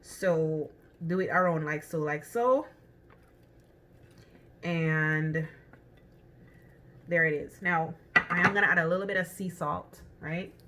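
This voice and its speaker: Bright-voiced Jamaican woman cooking. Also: low-pitched, slow pace, pauses often. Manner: chatty, thinks aloud with many ums and restarts. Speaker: cooking vlogger. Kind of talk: casual cooking demonstration. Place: home kitchen.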